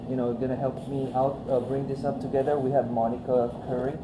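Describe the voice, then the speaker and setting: A man's voice: medium pitch, flat delivery, brisk, background noise. Distant man hosting a panel in an echoey room. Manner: hesitant, many fillers and restarts. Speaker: event host and organizer.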